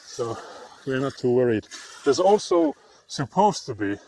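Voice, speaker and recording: A man's voice: medium pitch, wildly swooping pitch, a little slow, creaky, dark tone. A man with a Finnish accent, chatting casually and laughing often while live-streaming on a frozen lake. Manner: chatty, laughs often, reads chat aloud.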